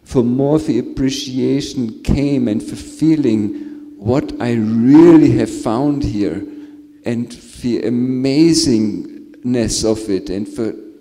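Slow-spoken man in a reverberant hall. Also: mid-range voice. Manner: slow, searching, with restarts and soft laughs. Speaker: panel speaker. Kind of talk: reflective spiritual sharing at a Q&A.

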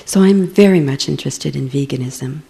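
A woman's voice: a bass voice, a little fast, vocal fry, mellow. A woman introducing herself in a group, talking in a hesitant, chatty way. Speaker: participant.

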